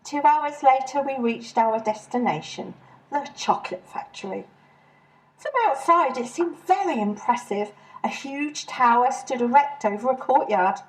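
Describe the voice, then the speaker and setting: A woman's voice: sits high, lively intonation, unhurried, rough recording. A woman reading her own humorous short story as a first-person narrator.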